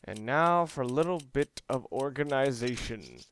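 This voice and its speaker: A man giving casual, explanatory let's play commentary, halting and rephrasing as he plays. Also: medium pitch, lively intonation, fairly bright tone, a little hiss or hum. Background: video game audio and music.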